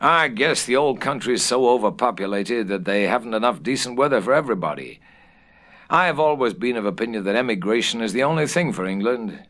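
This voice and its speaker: A man voicing a calm, opinionated American minister and father in a storybook, with a matter-of-fact manner. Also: mid-range voice, fairly quick, close to an everyday voice. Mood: calm, dry.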